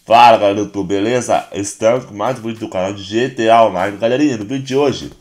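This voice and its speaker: A Brazilian man with a hoarse, congested voice, chatting casually through a game tutorial as a YouTuber. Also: fairly low pitch, fast talker. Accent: Brazilian Portuguese. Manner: relaxed, chatty, constant filler address to viewers. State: hoarse, stuffy nose and cough. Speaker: gaming YouTuber.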